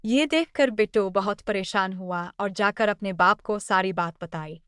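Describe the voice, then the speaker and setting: Clear, smooth female storyteller. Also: medium pitch, a little slow, fairly bright tone, dry recording, studio quality. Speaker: narrator. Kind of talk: scripted story narration.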